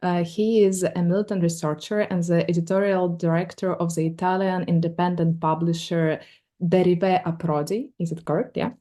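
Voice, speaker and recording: Mellow-voiced hesitant woman moderator. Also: lowish voice, steady tone, normal speed. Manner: hesitant, many fillers. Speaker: panel moderator. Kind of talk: panel moderation.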